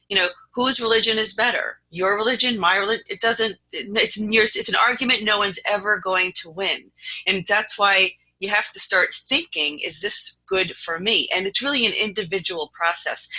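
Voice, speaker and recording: Very sharp, bright-voiced woman hosting. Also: medium pitch, poor audio. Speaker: panel host. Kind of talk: unscripted panel discussion.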